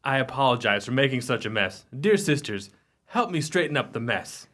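A man's voice: medium pitch, sing-song, normal speed, sharp tone. A man acting a blustering, domineering brother in a radio play, emphatic and condescending. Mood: forced cheer. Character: blustering, domineering brother masking unease with forced good humor.

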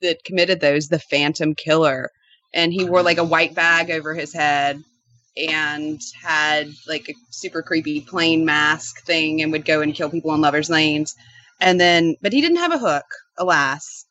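A woman's voice: deep voice, a little slow, fairly bright tone, no echo, highs cut off. A woman chatting casually as a podcast guest, telling stories with enthusiasm and wry humor. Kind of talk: casual conversation, storytelling.

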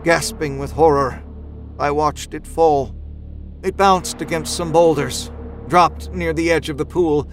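Guy playing exhausted desperate climber in a horror audio story, highish voice, intense first person telling, music under it. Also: natural voice. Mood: anxious, tense. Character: desperate, exhausted man climbing out of an ice crevasse. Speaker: audio fiction narrator. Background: musical score.

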